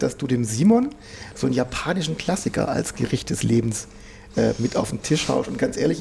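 Very gravelly, dark-voiced chatty male host. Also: fairly high pitch, slight background noise. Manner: chatty, prompting with short affirmations. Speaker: podcast host. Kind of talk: casual interview chat.

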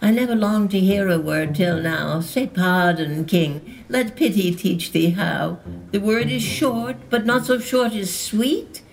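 A woman's voice: medium pitch, a little slow, gravelly, poor audio. An actress playing a desperate, insistent noble mother in a Shakespearean radio drama, imploring with great emotion. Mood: earnest, insistent. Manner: emotive, imploring verse delivery.

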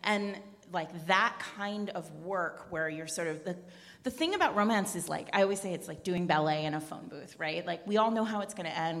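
A woman with a gravelly creak in a fairly low voice. She chats effusively and emphatically, and the recording has the long ring of a hall. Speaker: romance novelist on a podcast panel. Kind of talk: casual chat. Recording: reverberant hall.

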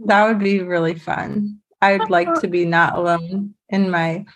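A woman's voice: lowish voice, fairly even intonation, unhurried, rough recording. Giggly woman with a slight lisp. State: slight lisp from fresh dental work. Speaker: podcast co-host. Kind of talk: casual podcast chat.

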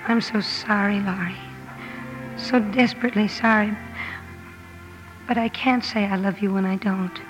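A woman's voice: medium pitch, fairly even intonation, measured pace, long pauses, soft background music, lightly acted, low-quality recording. An actress playing a headstrong, earnest young woman writer in a 1950s radio drama, with emphatic delivery. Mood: pained, earnest.

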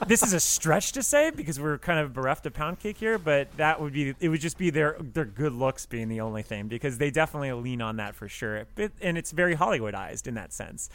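A man's voice: on the high side, expressive, fairly quick, few pauses, a bit bright. A man co-hosting a podcast, chatting casually about film in a rambling, wry way with some swearing. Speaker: podcast co-host.

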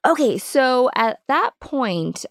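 A woman's voice: sits high, big pitch swings, takes it slowly, dry recording, clean studio sound. A woman podcast host interviewing a guest in an engaged, conversational way. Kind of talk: conversational interview with scripted intro.

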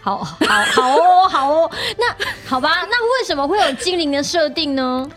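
A Taiwanese-accented woman chats in a high, animated voice over faint music. She asks questions casually and with curiosity, teasing a little as a podcast interviewer. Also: normal speed. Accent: Taiwanese Mandarin. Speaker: guest podcaster asking questions.

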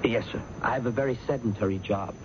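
An actor playing a timid, flustered office worker in a radio drama, hesitant, deferential and stammering. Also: male voice, mid-range voice, quick pace, close to an everyday voice. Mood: nervous, hesitant. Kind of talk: old-time radio drama acting. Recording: dull, noisy old radio broadcast.

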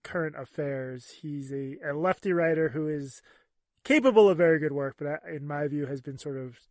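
A man co-hosting a podcast in casual chat, wry and quick to laugh. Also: a little high, dry recording, clean studio sound. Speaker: podcast co-host.

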